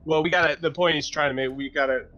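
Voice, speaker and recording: A man's voice: fairly high pitch, fairly even intonation, quick pace, dull audio, a crowd in the background. A man talking casually as a player in a tabletop roleplaying game.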